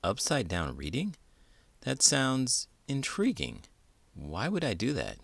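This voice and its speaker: A man's voice: medium pitch, wildly swooping pitch, takes it slowly, creaky, dry recording. A man hosting a children's storybook read-aloud, theatrical and full of big emotion, stretching words for effect. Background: music.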